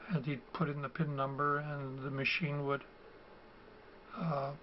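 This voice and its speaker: An older man who has a cold and is losing his voice, explaining things slowly and haltingly with many ums and uhs. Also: a little high, level tone. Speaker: video host. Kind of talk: casual explanatory talk. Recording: dull, lo-fi home recording with faint ambient noise.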